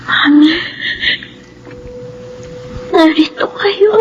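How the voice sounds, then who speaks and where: A very high-voiced, weeping young daughter. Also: female voice, extremely slow, lo-fi sound, a little hiss or hum. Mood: tearful joy. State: crying. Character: tearful ailing young daughter, tender and grateful. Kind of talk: Filipino radio drama acting.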